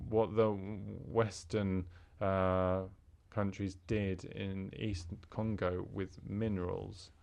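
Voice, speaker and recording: Male interpreter, very slow, halting delivery, formal speech. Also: deep voice, vocal fry, clean tone. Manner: halting, with fillers and restarts.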